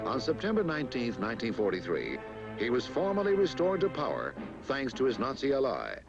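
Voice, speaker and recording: A man narrating an old history tape in a darkened classroom, his pitch rising and falling over a musical score. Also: wildly swooping pitch, brisk, dull audio, over music. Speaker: documentary narrator. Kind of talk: scripted historical newsreel narration.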